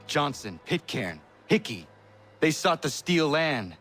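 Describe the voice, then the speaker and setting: A man's voice: fairly high pitch, sing-song, slow pace, a bit bright, soft background music, full character voice. A man playing an earnest, blunt young assassin in a video game, speaking in a terse, solemn, formal way. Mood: stern. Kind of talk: dramatic video game cutscene acting.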